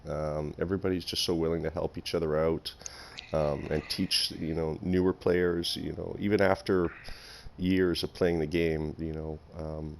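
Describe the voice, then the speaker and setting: Low-voiced man hosting a chatty interview. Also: flat delivery, moderate pace, creaky, a little hiss or hum. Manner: warm, effusive, giggly. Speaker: podcast host. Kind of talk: casual interview.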